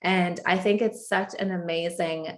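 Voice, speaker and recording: A woman's voice: on the low side, steady tone, moderate pace. A woman hosting a podcast, introducing and interviewing a guest with effusive enthusiasm. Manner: effusive, enthusiastic, repeats "amazing". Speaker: podcast host.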